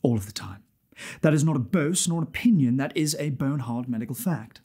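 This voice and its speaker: A man's voice: mid-range voice, lively intonation, a little fast, mellow, husky. A man acting an arrogant, lewd libertine nobleman who addresses the audience in a theatrical monologue. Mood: smug, provocative.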